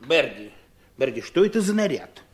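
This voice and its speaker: An actor playing a stern, irritable husband in a radio play, sharp, sarcastic and reproachful. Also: male voice, fairly high pitch, big pitch swings, normal speed, slightly rough. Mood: irritated. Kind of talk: 1970s Soviet radio play acting.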